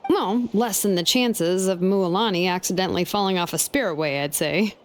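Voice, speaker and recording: A woman playing an easygoing young female blacksmith in a video game, with a relaxed, wry way of talking. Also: on the low side, normal speed, a little hiss or hum, natural voice. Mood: friendly, casual. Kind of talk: video game character acting. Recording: game music behind. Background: game music.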